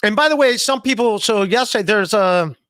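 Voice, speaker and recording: Man in his forties, podcast host, self-deprecating banter, high bright voice. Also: expressive, fairly quick, clean tone, no echo, studio quality. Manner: self-deprecating, reads chat messages aloud. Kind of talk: casual chat. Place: home room, indoors. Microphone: studio mic with headphones, video call.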